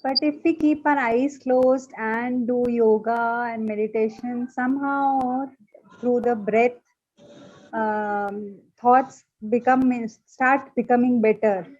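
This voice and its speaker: A woman's voice: a little high, fairly even intonation, takes it slowly, a little mellow. A woman answering a teacher's question on a video call, hesitant and full of fillers. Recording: dull video call audio.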